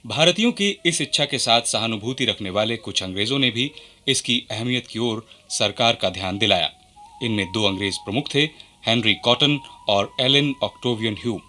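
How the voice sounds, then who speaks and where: Bright-toned man narrating formal radio history. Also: medium pitch. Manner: formal, measured delivery. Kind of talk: scripted radio documentary narration.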